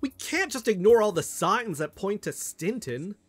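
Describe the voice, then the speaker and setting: An actor playing an excitable, anxious amateur investigator in an audio fiction podcast, speaking haltingly and emotionally. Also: mid-range voice, husky, soft background music. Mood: defensive.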